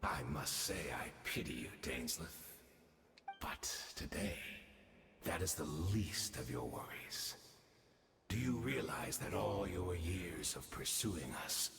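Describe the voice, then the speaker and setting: An actor playing a menacing, condescending monstrous herald of a dark order in a video game, with grandiose, deliberate, theatrical delivery and a deep gravelly voice. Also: male voice, expressive, full character voice. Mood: mocking, pitying. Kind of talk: dramatic video game voice acting. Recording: cavernous reverb under game music.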